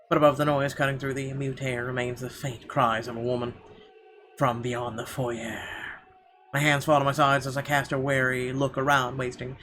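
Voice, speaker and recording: A man reading a visual novel aloud as a video host, in expressive, deliberate storytelling narration with the odd stumble. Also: medium pitch. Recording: clear background noise behind voice.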